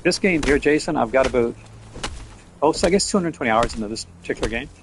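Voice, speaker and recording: Newfoundland man, mid-pitched, streams games and rambles loosely while he plays, faint noise behind. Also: animated, quick pace. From Newfoundland, Canada. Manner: rambling, offhand, talks while playing. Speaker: game streamer. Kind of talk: casual gameplay live-stream chat.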